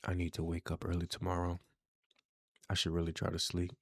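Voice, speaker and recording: A man with a very low voice reads horror game narration slowly and deliberately. The delivery is hushed and dramatic, recorded close and dry with no room sound. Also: completely flat delivery, vocal fry, dry recording. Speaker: game streamer, channel host.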